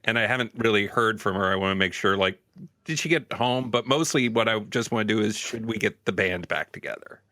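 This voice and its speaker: Halting, emphatic man in a dry-sounding room. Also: fairly low pitch, bright, forceful tone, dry recording. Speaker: tabletop game player. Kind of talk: casual improvised role-play chat.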